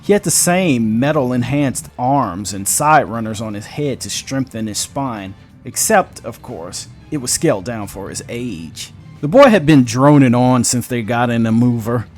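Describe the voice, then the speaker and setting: A man's voice: mid-range voice, expressive, measured pace, hardly any pauses, soft background music. A man narrating a sci-fi story in a casual, profane storytelling manner. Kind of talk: audiobook-style story narration.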